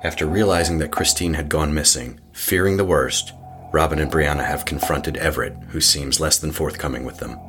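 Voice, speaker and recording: A man's voice: rumbling low, fairly quick, background noise. A man narrating a scripted recap of a horror audio serial. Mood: ominous. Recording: loud music under voice.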